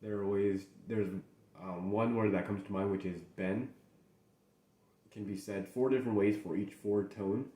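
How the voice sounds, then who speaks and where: A young man hosts a casual solo chat, his voice low and mellow. He rambles and halts, often restarting his sentences. The room around him is echoey. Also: fairly even intonation. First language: English. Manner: rambling, halting, with frequent restarts. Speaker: host.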